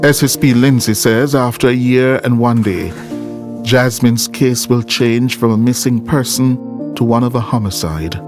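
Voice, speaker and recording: A man's voice: medium pitch, a little slow. A man with a Jamaican accent reading scripted narration as a reporter for a news documentary. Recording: music bed behind the voice. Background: background music.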